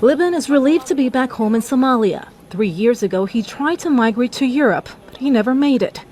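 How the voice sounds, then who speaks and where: A woman's voice: high voice, animated, brisk, darkish tone, slight background noise. A woman reporter reading a news report in a broadcast style.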